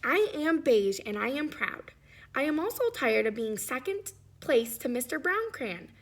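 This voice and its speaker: A woman's voice: high voice, sing-song, measured pace, full character voice. A woman voicing a little beige crayon in a storybook, proud but slighted, aggrieved and insistent. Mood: aggrieved pride.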